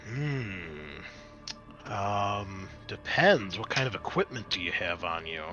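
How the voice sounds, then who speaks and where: A man's voice: low voice, sing-song, slow pace, sharp tone, dull audio, faint music behind. A man narrating as game master of a tabletop roleplaying game, explaining things in a conversational, improvised way.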